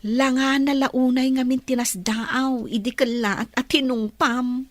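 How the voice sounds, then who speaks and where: A woman's voice: sits high, steady tone, unhurried, dry recording. An actress playing a thoughtful, curious young female friend in a radio drama, hesitant and musing. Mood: puzzled, musing.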